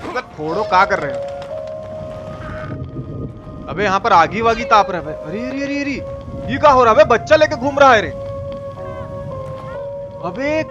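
Man putting on a high-pitched rustic Bihari uncle. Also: moderate pace, pauses often, highs cut off, music in the background, natural voice. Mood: alarmed. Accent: eastern Hindi, Bihari. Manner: colloquial, exclaiming, crude slang. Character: Chacha, folksy rustic Indian uncle, excitable and jokey. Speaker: live game streamer. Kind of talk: live horror game commentary with chat replies.

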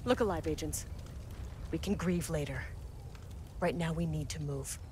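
Woman acting a young military commander in a game, low voice, clipped and controlled, bit of background noise. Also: normal speed, lightly acted. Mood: controlled, resolute. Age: young adult. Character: resolute, disciplined young female special forces commander. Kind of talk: video game cutscene acting.

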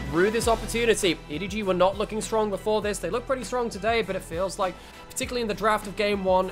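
A man casting a live esports match with lively, punchy play-by-play, his voice a little ropey from overuse. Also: sits high. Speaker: esports caster. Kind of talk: play-by-play commentary.

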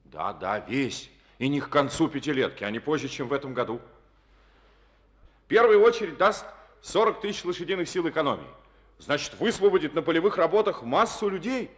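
An actor playing an energetic, visionary party secretary in a Soviet radio play, emphatic and confident. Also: male voice, fairly high pitch, fairly quick, natural voice. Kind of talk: 1950s radio play acting.

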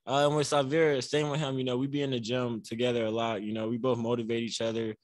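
A soft-spoken young man answers reporters at a postgame press table, relaxed and easygoing, with lots of "you know" and "like". His voice sits in a middle pitch. The sound is dry and close on a table mic. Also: fast, dry recording. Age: young adult. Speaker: college basketball player. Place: press room before a banner. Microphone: table mic on a stand.

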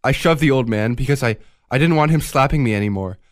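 A man's voice: mid-range voice, fast talker, no room sound, lightly acted. A guilt-ridden male thief acted by a man in a radio play, with a mid-range voice and a dry sound. He is agitated, stubborn and defensive about what he has done. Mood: anguished, defensive. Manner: agitated, defiant. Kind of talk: radio drama acting.

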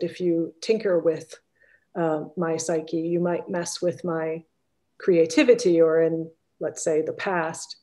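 A woman in her forties puts questions as a moderator on a video call. Her voice is fairly low and mellow, and she speaks slowly with careful, deliberate phrasing. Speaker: interviewer, moderator. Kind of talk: measured interview conversation. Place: room with bookshelves, video call. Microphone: headset or computer mic on video call.

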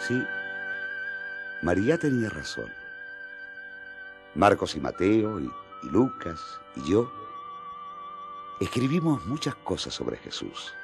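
An earnest disciple in a radio play, fervent and coaxing. His low voice swoops dramatically in pitch, emphatic and eager, with faint music behind it. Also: wildly swooping pitch, slow pace, gravelly, long pauses, exaggerated voice. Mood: reflective. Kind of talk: radio drama acting in colloquial Spanish.

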